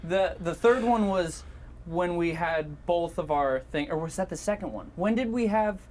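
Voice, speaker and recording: A man's voice: high voice, lively intonation, moderate pace, darkish tone, slight background noise. A man co-hosting a video, speaking off the cuff in casual back-and-forth banter. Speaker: video co-host. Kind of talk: casual unscripted banter with a co-host.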